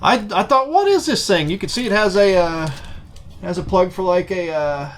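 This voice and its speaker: A man's voice: sits high, lively intonation, moderate pace. A man co-hosting a casual chat about old gadgets, talking in a folksy, plain-spoken way. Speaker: co-host of an old tech show. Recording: clear background noise, room ambience.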